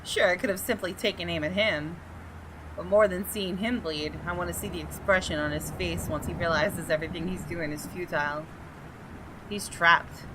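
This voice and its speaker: A woman's voice: fairly low pitch, steady tone, moderate pace, noisy background, close to an everyday voice. A woman reading visual novel narration dramatically, voicing the thoughts of an arrogant, menacing gun owner. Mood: cold, menacing. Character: haughty, menacing gunman homeowner narrating his thoughts. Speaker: gaming video host. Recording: clear music behind the voice.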